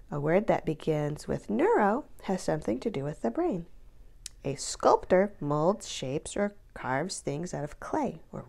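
A woman narrates a picture book for children with very lively intonation. Her voice sits a little low and has a gravelly creak. She reads deliberately, stretching important words for emphasis. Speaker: counselor reading a children's book aloud. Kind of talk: expressive read-aloud narration for children.

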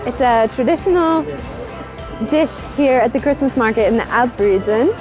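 A woman's voice: fairly high pitch, expressive, moderate pace. A woman in her thirties presenting a travel vlog in an enthusiastic, explanatory way. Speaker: travel vlogger, host. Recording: music bed behind the voice. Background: background music.

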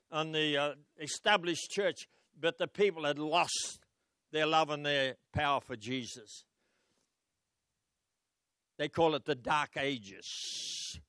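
An older man with an Australian accent preaches a storytelling sermon into a handheld microphone. His voice is smooth and slightly high, and the sound is dry and close. He calls out questions to the congregation between his stories. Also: no room sound. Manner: storytelling preacher, rising to loud exclamations and calling out to the congregation. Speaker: pastor. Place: church stage. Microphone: handheld mic.

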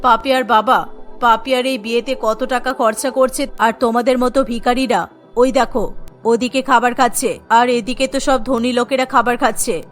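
A woman's voice: on the high side, steady tone, moderate pace, soft background music, voice pushed down, natural voice. A woman voicing a snobbish rich middle-aged mother in a cartoon, who talks in a contemptuous, condescending way. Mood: scornful.

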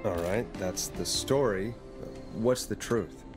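An actor playing a jaded late-night radio host in a video game, with a wry, exasperated manner. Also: male voice, on the low side, takes it slowly, a little mellow. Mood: questioning. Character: jaded fallen big-city radio DJ, dry and weary. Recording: music playing behind the voice.

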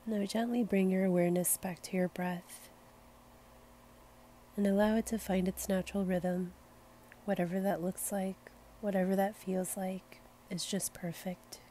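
A woman leading a guided meditation in a gentle, soothing, unhurried manner. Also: mid-range voice, flat delivery, slight background noise. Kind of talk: slow guided meditation narration.

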